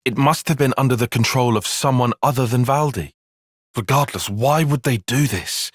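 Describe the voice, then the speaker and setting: A man's voice: medium pitch, moderate pace, dry recording, close to an everyday voice. A man playing an analytical, guarded young soldier strategist in a video game, speaking precisely and articulately with a dry, sarcastic edge. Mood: measured, analytical. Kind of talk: video game cutscene voice acting.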